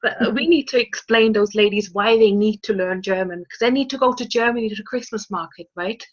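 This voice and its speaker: A woman's voice: mid-range voice, a little fast. A woman from Austria hosting an online networking call, laughing and bantering with her guests. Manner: giggly, friendly banter. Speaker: host. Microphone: video call. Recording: muffled video call audio.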